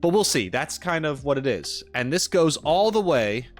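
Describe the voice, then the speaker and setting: A man's voice: fairly high pitch, very animated, moderate pace. Casual male streamer chatting, music behind. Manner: explanatory, rambling updates with self-corrections. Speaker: streamer and reaction video host. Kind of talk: casual livestream chat to viewers.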